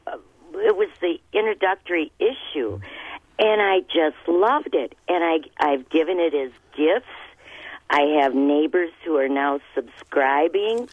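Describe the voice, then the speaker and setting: A woman's voice: low-pitched, a little slow, no echo. A woman calling into a radio show, gushing with enthusiasm, heard over a phone line. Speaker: caller.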